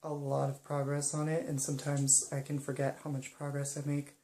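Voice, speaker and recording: A young woman speaking softly and hesitantly in a personal monologue, pausing often. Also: low-pitched, completely flat delivery, roomy sound, a little hiss or hum. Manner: soft-spoken and hesitant, many pauses and ums. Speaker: vlogger.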